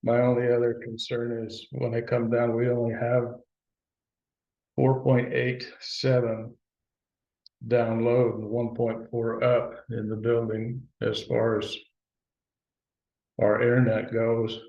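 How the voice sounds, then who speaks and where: A man leading a town board meeting, talking haltingly with many restarts. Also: medium pitch, monotone. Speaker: presiding board trustee. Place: town hall meeting room. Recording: dull lo-fi meeting recording.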